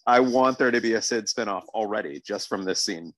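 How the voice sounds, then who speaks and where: A man's voice: fairly low pitch, fairly quick, no room sound, rough recording. A man podcast host giving casual, exclamatory reactions to a TV show, often repeating himself for emphasis.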